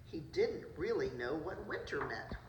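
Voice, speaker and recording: A woman's voice: low-pitched, big pitch swings, moderate pace. A woman preschool teacher reading a picture book aloud to children, adding simple asides about the pictures. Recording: roomy, distant mic, noisy background.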